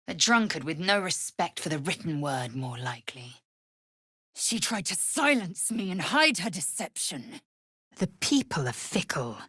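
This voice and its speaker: A woman voicing a fierce, proud alien warrior woman in a video game, scornful and haughty, with clipped, formal phrasing and a husky, breathy voice. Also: deep voice, no echo, studio quality, full character voice. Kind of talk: video game character voice lines.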